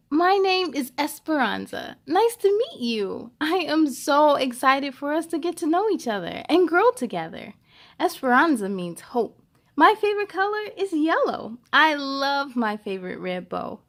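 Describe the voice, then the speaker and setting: A woman's voice: high-pitched, sing-song, a little slow, fairly bright tone, heavily acted. A woman reading as a shy, earnest young schoolgirl in a storybook, confiding in simple phrases. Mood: friendly, eager. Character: shy, nervous, earnest schoolgirl narrating her storybook life.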